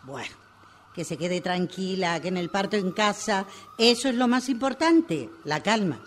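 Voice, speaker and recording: Woman acting animated, unhurried Argentine birth helper on the phone. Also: mid-range voice, close to an everyday voice. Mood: reassuring. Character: caring, soothing midwife-like woman coaching a birth by phone. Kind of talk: radio drama acting, one-sided phone call. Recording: amateur workshop recording, sirens and traffic noise.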